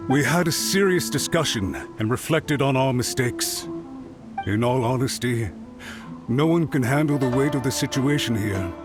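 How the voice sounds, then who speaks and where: A man's voice: mid-range voice, expressive, normal speed, close to an everyday voice. An actor playing an anxious, apologetic male official who speaks with deference and pleads for a second chance, in a studio game. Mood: contrite. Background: music.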